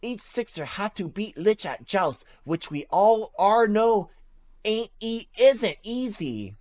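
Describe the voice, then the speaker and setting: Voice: mid-range voice, big pitch swings, slow, darkish tone, pitched above the performer's own voice. A reader voicing a shy young male gamer, the younger brother, who speaks in broken, clipped English. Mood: sheepish.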